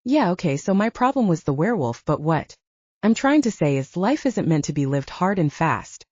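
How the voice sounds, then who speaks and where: A woman voicing an easygoing young male werewolf fighter with a teasing, relaxed way of talking. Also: fairly low pitch, normal speed, breathy edge, no room sound, lo-fi sound.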